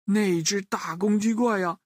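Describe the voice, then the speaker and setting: A woman's voice: mid-range voice, a little slow, darkish tone, no echo, studio quality. A woman telling a children's story expressively, addressing young listeners directly with playful questions and little interjections.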